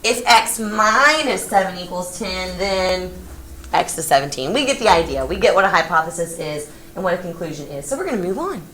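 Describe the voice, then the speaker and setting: A woman from Texas teaching a geometry class, lively and playful as she coaxes her students along. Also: fairly low pitch, a little fast. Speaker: high school geometry teacher. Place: classroom, recorded from the back. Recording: echoey classroom, faint room noise.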